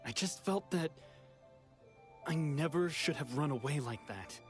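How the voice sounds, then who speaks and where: An actor playing a regretful young runaway who has returned home, speaking sincerely in anime game style. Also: male voice, on the high side, lively intonation, unhurried. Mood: wistful, regretful. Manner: wistful, sincere. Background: background music.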